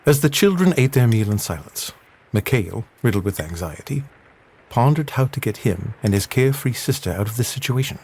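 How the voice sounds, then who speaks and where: A man's voice: mid-range voice, sing-song, fairly quick, a little mellow, slightly rough. A man delivering scripted third-person narration for an adventure audio drama.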